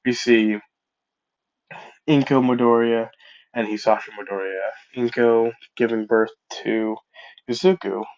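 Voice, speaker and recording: A man with a stuffed-up cold narrates a fan story slowly, wandering off into asides and correcting himself as he goes. His voice sits at an ordinary pitch. It comes through a dull, lo-fi mic. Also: steady tone, darkish tone. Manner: rambling, self-correcting, casual asides. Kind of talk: improvised fan-fiction storytelling.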